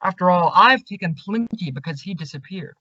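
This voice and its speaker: A man's voice: high-pitched, expressive, fairly quick, pushed and bright, dry recording, full character voice. An actor playing a suspicious, confrontational young man, insistent and accusing, in an old-time radio suspense drama. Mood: stern warning. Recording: dull, lo-fi audio.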